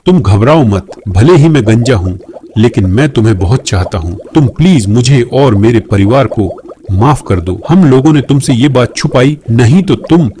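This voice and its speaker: A man playing a devoted young husband in a cartoon, gentle but firm and earnest. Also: mid-range voice, lively intonation, normal speed, slight background noise, close to an everyday voice. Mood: apologetic. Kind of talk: Hindi moral-story cartoon acting.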